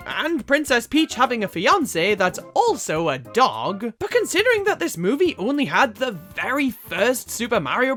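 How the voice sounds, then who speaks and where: A woman's voice: a little high, fairly bright tone. A woman narrating a scripted comedic video review in a sarcastic way, with some swearing. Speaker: video essay host.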